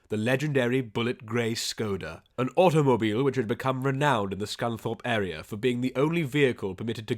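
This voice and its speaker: Deadpan male audiobook narrator, mid pitch. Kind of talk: scripted comic story narration.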